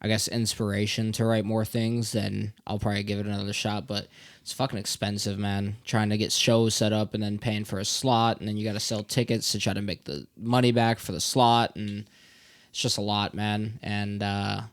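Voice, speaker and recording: A young man with a lowish voice speaks in a laid-back, candid way. He rambles a little and swears casually as he explains himself. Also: flat delivery, normal speed, no room sound. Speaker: podcast co-host. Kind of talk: casual chat.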